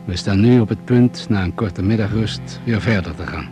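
A man's voice: lowish voice, normal speed, a little mellow, faint music behind, natural voice. An actor playing a dry, authoritative male doctor leading survivors in a radio drama. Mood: matter-of-fact.

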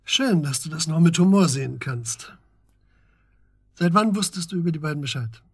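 Husky, earnest investigator. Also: male voice, fairly high pitch, lively intonation, moderate pace, no room sound, clean studio sound. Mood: grave, accusing. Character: grave, pressing male investigator confronting his friend. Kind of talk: German radio drama acting.

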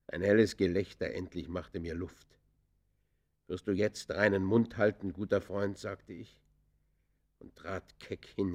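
A low-voiced, haunted confessing murderer. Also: male voice, husky, exaggerated voice. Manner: literary, deliberate confession, swinging from hushed to vehement. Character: confessing male murderer, brooding, defiant, haunted. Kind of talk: German radio play acting.

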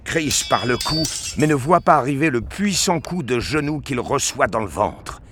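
A man narrating a scripted action story with deliberate, intense dramatic delivery. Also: mid-range voice, expressive. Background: prominent music.

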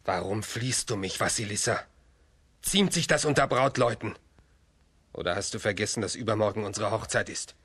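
An actor playing an evil, arrogant grand duke in a children's radio play, haughty and menacing. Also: male voice, fairly low pitch, moderate pace, fairly bright tone, breathy edge. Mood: taunting.

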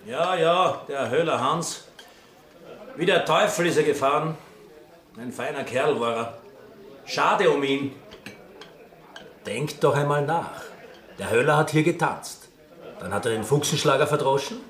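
Bright, brash village bully. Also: male voice, on the high side, measured pace, pauses often, roomy sound. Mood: insistent, suspicious. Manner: challenging, blunt. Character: brash, coarse, suspicious male village bully. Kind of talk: radio drama acting.

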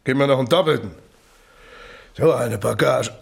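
An actor playing a blustering small-town building contractor in a radio drama, curt, gruff and grumbling in manner. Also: male voice, mid-range voice, moderate pace. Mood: grumbling, irritated. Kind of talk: naturalistic radio drama acting.

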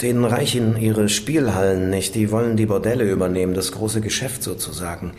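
A man's voice: lowish voice, fairly quick, darkish tone, natural voice. Man playing a sardonic inspector, echoey room. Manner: dry, grudging, sardonic. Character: jaded, sardonic male police inspector. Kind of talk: German radio crime drama acting. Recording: echoey room with faint noise.

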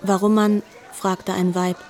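Woman narrator, medium pitch. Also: little pitch movement, a little slow, a little mellow, some chatter behind. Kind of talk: scripted literary narration.

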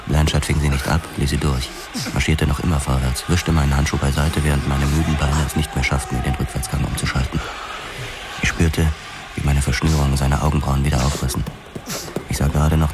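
A hard-boiled boxer-cop tells his story in a very low, bottomed-out voice. His delivery is terse and grim, in the style of a noir radio drama. Music plays clearly underneath. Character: hard-boiled boxer turned Los Angeles policeman narrating his past. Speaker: narrator and lead actor. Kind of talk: scripted first-person noir radio drama narration. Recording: music behind the narration.

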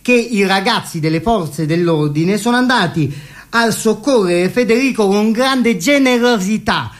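A man's voice: sits high, a little slow. Casting: a man as a smug male police chief for radio satire, hesitant, full of tics, falsely kindly. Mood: smugly insinuating. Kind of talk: satirical radio theatre interview.

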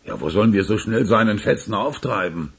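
A man acting in a German radio crime drama as a rough, defensive henchman with a husky, gravelly voice. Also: fairly low pitch, expressive, a little fast, exaggerated voice. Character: rough, dim gangster underling, defensive.

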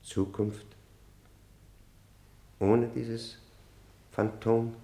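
A man acting a middle-aged, long-jailed convict in a 1960 radio drama, weary yet proud, speaking haltingly and deliberately. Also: medium pitch, little pitch movement, mellow. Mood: guarded, defiant. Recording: echoey vintage radio studio recording.

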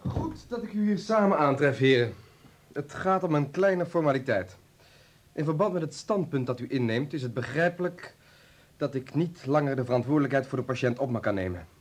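Stiff, husky-voiced hospital doctor played by a man. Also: medium pitch, animated, a little fast, close to an everyday voice. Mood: coldly formal. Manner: formal, cutting. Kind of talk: Dutch radio play acting.

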